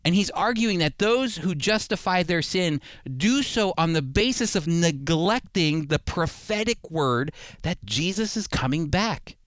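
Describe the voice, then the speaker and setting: A man's voice: fairly high pitch, animated, a little slow, a bit bright, dry recording. A man preaching a sermon, addressing listeners directly and repeating key points for emphasis. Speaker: preacher and Bible teacher.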